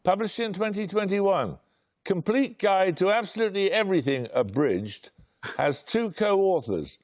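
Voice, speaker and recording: Quiz host, male, slightly high voice, brisk and wry, dry close sound. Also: expressive, no room sound. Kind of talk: formal quiz presenting, reading questions.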